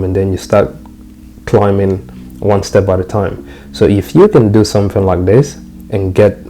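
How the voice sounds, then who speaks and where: Young male host from Cameroon, low and relaxed tone, casual repetitive walkthrough, faint music bed. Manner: repetitive, restarts phrases, talks to viewers as "guys". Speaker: trading channel host.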